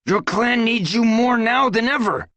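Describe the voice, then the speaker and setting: A man's voice: extremely high pitch, unhurried, bright, forceful tone, no echo, voice pushed up, heavily acted. A man voicing a stern young tomcat warrior who snarls and scolds.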